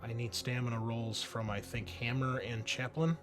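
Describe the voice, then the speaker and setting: A man's voice: medium pitch, level tone, normal speed. Man game master with music behind. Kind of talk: narrating and refereeing a tabletop roleplaying game.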